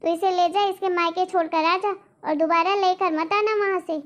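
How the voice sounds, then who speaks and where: Woman voicing a scolding, very high-pitched cartoon mother-in-law. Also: fairly even intonation, moderate pace. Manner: scolding, emphatic. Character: domineering, scornful mother-in-law spoiling her daughter. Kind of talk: Hindi cartoon family melodrama.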